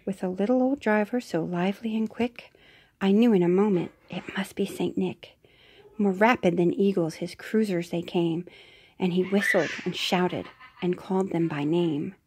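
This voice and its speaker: A woman's voice: fairly low pitch, slight background noise. Mother reading a storybook aloud. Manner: gentle, with soft whispered asides.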